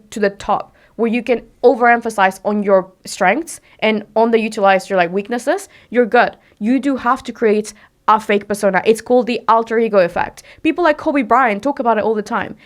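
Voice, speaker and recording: A young woman from Mexico, whose first language is Spanish, speaking as a podcast guest in a direct, emphatic way and asking herself rhetorical questions. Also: on the high side. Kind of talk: casual interview talk.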